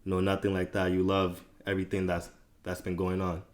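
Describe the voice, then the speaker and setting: A man's voice: low voice, monotone, brisk. A man hosting a casual podcast interview, asking questions loosely and repeating words as he starts. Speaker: podcast host.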